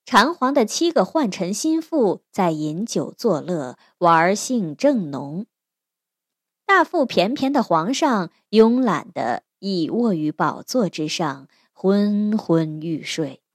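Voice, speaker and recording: A woman narrating a scripted fairy tale as an expressive storyteller. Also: mid-range voice, slow pace, no room sound, studio quality.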